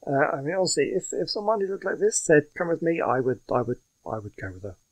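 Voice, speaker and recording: A man's voice: on the high side, very animated, fast talker. A man hosting a gaming video with casual, rambling, hesitant commentary.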